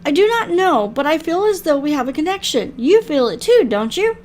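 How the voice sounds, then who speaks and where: High-pitched, courteous mysterious librarian played by a woman. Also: big pitch swings, a little fast, a little mellow, slight background noise. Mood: wistful, earnest. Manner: formal, polite, wistful phrasing. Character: mysterious, courteous female keeper of an otherworldly library. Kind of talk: visual novel character read aloud.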